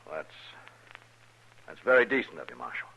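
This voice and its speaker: A man acting a courteous, proper army major in a radio western, husky and politely hesitant. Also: extremely low pitch, very animated, brisk, full character voice. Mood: embarrassed. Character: formal, courteous army major, awkwardly humbled. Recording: dull old radio recording.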